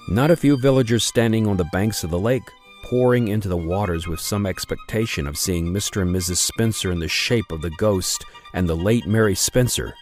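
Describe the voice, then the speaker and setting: A man narrating an old-time radio drama in an expressive storytelling manner. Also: on the low side.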